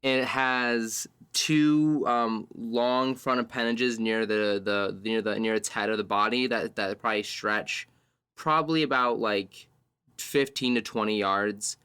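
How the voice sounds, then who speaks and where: A man playing a tabletop roleplaying game, describing scenes as he improvises them, piecing his sentences together in halting fragments. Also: fairly low pitch, steady tone, sharp tone, clean tone, dry recording.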